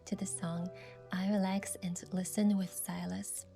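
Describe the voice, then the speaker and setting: A woman playing a gentle, thoughtful young heroine, narrating her game story softly and in measured scripted first person. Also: mid-range voice, level tone, vocal fry, faint music behind. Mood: reflective.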